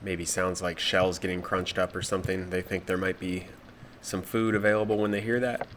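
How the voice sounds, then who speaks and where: A man with a cold and a stuffed-up nose, narrating his spearfishing dive footage in a relaxed, matter-of-fact way. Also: deep voice, normal speed, noisy background. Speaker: spearfishing vlogger.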